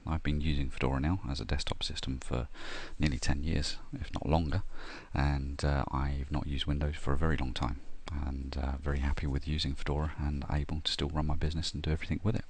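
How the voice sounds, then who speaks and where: A middle-aged man talking through a software install, step by step, with frequent ums and uhs. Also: extremely low pitch, a little fast, few pauses. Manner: steady step-by-step explaining with frequent "uh" and "um". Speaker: software tutorial presenter. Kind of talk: screen-recorded tutorial narration.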